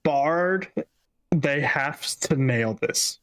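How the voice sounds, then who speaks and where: A man's voice: a little high, drawn-out words, a bit bright. A man co-hosting a casual gaming discussion, giving his views in an emphatic, opinionated way. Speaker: gaming show co-host.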